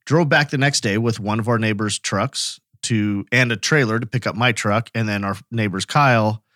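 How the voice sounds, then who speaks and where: A man's voice: on the low side, normal speed, fairly bright tone, dry recording. American man telling stories, dry recording. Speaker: podcast co-host. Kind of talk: casual anecdotal chat.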